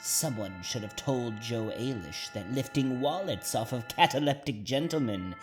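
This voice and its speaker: A man's voice: mid-range voice, expressive, close to an everyday voice. A man playing a gleefully macabre horror host in a radio drama, drawing out his words with punning relish. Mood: mock-grave glee. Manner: drawn-out, punning delivery with relish. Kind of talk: theatrical horror-show hosting. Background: music.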